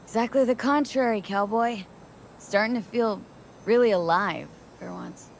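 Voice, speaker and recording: A woman's voice: fairly high pitch, moderate pace, vocal fry, a little hiss or hum. An actress playing a young female mercenary in a video game, speaking in a casual, clipped, wry way. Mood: wry, teasing.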